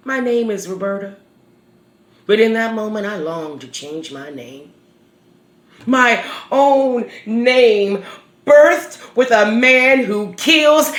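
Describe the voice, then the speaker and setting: An actress playing a grieving, haunted mother in a halting, weighty dramatic stage monologue. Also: lowish voice, roomy sound. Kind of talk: stage monologue performed on video.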